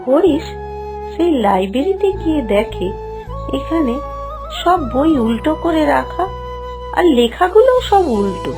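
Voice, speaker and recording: Casting: female storybook narrator, bright high register, very melodic delivery, over a music bed. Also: very animated, measured pace, long pauses. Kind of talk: scripted children's story narration. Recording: music behind the voice. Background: background music.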